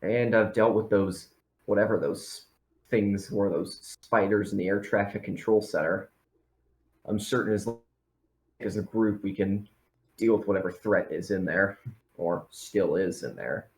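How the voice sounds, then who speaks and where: A man playing a practical, steady spaceship crew member with casual, matter-of-fact phrasing in a tabletop game. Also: on the low side, measured pace. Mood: determined. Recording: echoey room.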